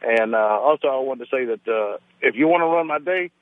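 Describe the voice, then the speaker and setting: Middle-aged male caller, halting and hesitant, tinny phone line with a dry sound. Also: medium pitch, lively intonation, no echo. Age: 50s.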